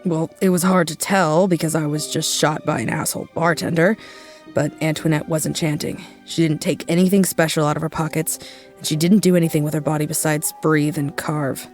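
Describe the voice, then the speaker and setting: Actor as a blunt, irreverent gambler, mid-pitched. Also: steady tone, brisk, soft background music, natural voice. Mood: matter-of-fact. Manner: casual, irreverent, plain-spoken. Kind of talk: dramatic audio fiction acting.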